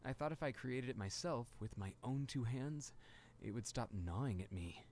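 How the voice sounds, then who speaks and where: An actor voicing an earnest young male artist in a story game, speaking softly and hesitantly. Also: mid-range voice, expressive, normal speed, a little hiss or hum, natural voice. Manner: soft-spoken, searching, hesitant. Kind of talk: game voice acting.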